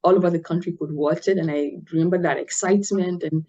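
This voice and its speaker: A woman's voice: lowish voice, steady tone, dark tone. Middle-aged woman from nigeria, guest on a podcast, thoughtful, lots of ums, kinda muffled audio. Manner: thoughtful, measured, with many fillers. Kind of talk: interview conversation.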